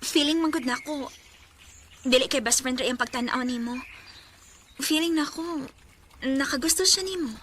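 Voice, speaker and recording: An actress playing an earnest, clingy and jealous young sweetheart in a radio drama, speaking with a Filipino Bisaya accent in an insistent, pleading way. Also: female voice, sits high, moderate pace, pauses often, fairly bright tone, slight background noise. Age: young adult.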